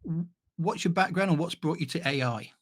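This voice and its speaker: A man's voice: fairly high pitch, brisk. A man hosting an interview podcast, introducing his guest and asking questions. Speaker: show host and interviewer.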